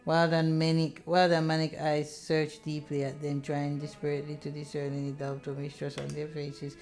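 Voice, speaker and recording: Female game streamer, low voice, dramatic visual novel narration plus casual commentary, faint music underneath. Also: completely flat delivery, normal speed, a little mellow.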